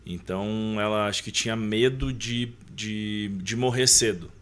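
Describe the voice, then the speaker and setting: A man's voice: lowish voice, measured pace, fairly bright tone. A man, reflectively telling personal recollections as a podcast guest. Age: 30s. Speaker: interview guest. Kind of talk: conversational podcast storytelling.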